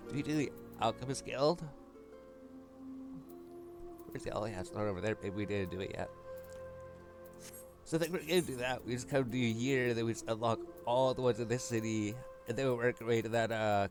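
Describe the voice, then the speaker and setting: A man's voice: medium pitch, brisk. A man hosting a gaming video, casually thinking aloud as he gives a walkthrough. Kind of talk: casual game walkthrough commentary. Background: game music.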